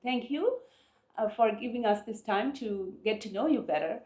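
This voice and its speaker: A woman's voice: on the high side, lively intonation, normal speed, darkish tone, echoey room. A woman interviewing an artist, asking polite, encouraging questions and giving short prompts. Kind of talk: casual interview.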